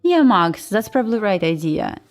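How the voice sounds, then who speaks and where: A woman's voice: medium pitch, sing-song, a little fast. Russian accented woman chatting on a trading livestream, casual informal english, music playing behind her. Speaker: host, futures day trader.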